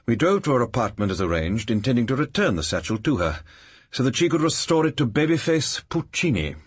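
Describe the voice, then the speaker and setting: An actor playing a witty, arch entertainer turned sleuth in a radio mystery, with a theatrical, dryly flippant manner. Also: male voice, mid-range voice, big pitch swings, fairly quick, slightly rough. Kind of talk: radio mystery drama acting.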